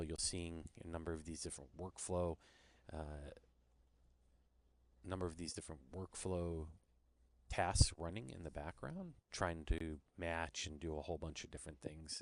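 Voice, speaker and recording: A man's voice: low voice, no room sound. A man presenting an informal screen-share product demo, explaining things step by step.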